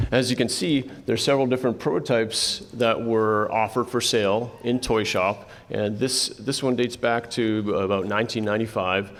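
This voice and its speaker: A man's voice: on the low side, creaky. A man moderating a convention panel presentation, talking conversationally and handing off to co-presenters. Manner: conversational, lots of restarts, hands off to co-presenters. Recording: reverberant hall.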